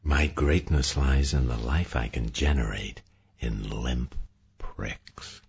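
A man voicing a seductive woman who speaks in a deep, breathy voice. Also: takes it slowly, a little mellow, exaggerated voice. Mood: sultry. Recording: muffled lo-fi recording.

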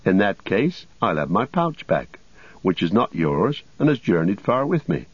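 A man voicing a plucky, polite but easily indignant young hobbit, brisk and plain-spoken, in an audiobook. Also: fairly low pitch, big pitch swings, slightly rough, dry recording, highs cut off, exaggerated voice. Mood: curt, indignant.

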